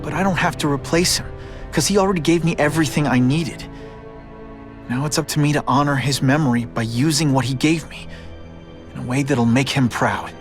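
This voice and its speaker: An actor playing an earnest, troubled young superhero in a video game, speaking with measured conviction. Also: male voice, on the high side, lightly acted. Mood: resolved. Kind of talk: video game dramatic acting. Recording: loud music over dialogue.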